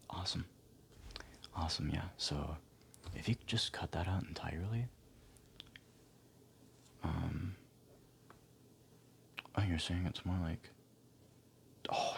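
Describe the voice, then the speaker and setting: A man speaking softly, often near a whisper, in a gentle, hesitant, encouraging ASMR roleplay as an advisor talking to a client. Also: rumbling low, creaky. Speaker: ASMR performer playing a financial advisor. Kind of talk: ASMR roleplay, soft-spoken one-sided client consultation.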